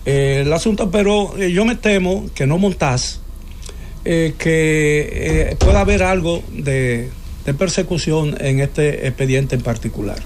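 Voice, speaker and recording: A man's voice: fairly high pitch, a little slow, a little hiss or hum. A man debating politics on a talk show, hesitating with many filler sounds and restarts, often asking for agreement. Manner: opinionated, asks listener for agreement.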